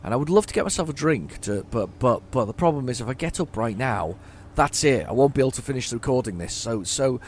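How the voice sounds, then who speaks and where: Hoarse man rambling over gameplay. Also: mid-range voice, animated, brisk, few pauses, a little hiss or hum. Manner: rambling, stammering repeats, chatting to himself. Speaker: gaming YouTuber. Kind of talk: casual gameplay commentary.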